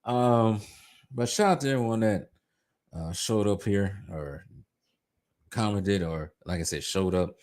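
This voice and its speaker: A man giving a mocking, scornful basketball rant, his voice going hoarse. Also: fairly low pitch, a little slow, pauses often, a little mellow. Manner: mocking, scornful, pleading in jest. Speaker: sports commentator. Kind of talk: ranting basketball commentary.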